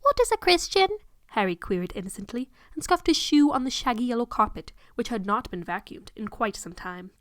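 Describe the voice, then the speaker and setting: A woman's voice: mid-range voice, lively intonation, fairly quick, hardly any pauses, no room sound, clean studio sound. A woman narrating fan fiction in a mock-dramatic reading, pointedly voicing the punctuation. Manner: pointedly voices punctuation aloud.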